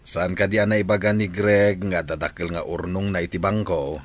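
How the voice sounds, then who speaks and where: A man's voice: low voice, fairly even intonation, brisk. A man with an Ilocano accent playing a worried, regretful farmer in a radio drama. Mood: wry. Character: worried, regretful male farmer fretting over his land.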